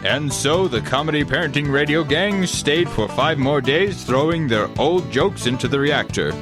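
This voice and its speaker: Mock-dramatic radio announcer, man acting: grandiose, animated delivery over clear music. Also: a little high, moderate pace, lightly acted. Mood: solemn, grand. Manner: melodramatic, grandiose. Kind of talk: mock-serious scripted narration.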